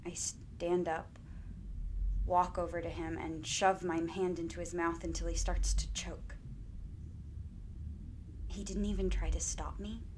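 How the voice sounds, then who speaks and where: A woman's voice: on the low side, level tone, a little slow, pauses often, a little mellow, natural voice. Troubled, defensive woman an actress plays, with background noise. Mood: haunted, slow. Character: troubled adult sister of a killer, wary and defensive. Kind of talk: stage drama dialogue.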